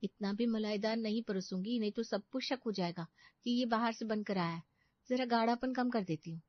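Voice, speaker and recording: Woman doing a young scheming bahu, high voice, whiny n glib, close dry recording a bit muffled. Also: normal speed, dry recording, close to an everyday voice. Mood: sly. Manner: complaining, glib. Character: young daughter-in-law, sly, grumbling, quick-witted schemer.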